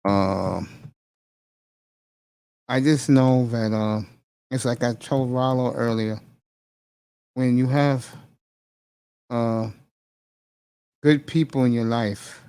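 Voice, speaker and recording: A male host in a casual conversation with a mid-pitched voice. He speaks earnestly and encouragingly, often pausing and searching for words. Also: low-quality recording. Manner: warm, encouraging, hesitant fillers.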